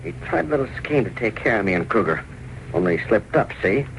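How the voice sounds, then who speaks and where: An actor playing a cocky, gruff racket boss in an old-time radio crime drama, with tough slangy delivery. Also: male voice, lowish voice, big pitch swings, quick pace, a bit bright, slight background noise, heavily acted, poor audio. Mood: smug, scheming. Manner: tough slangy gangster talk. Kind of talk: 1930s-40s radio drama acting.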